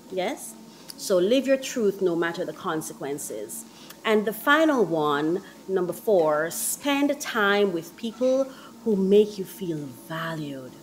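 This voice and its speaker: A woman hosting a podcast, casually sharing encouraging tips while walking on a treadmill indoors, repeating her points gently. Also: mid-range voice, slight background noise, low-quality recording. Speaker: podcast host.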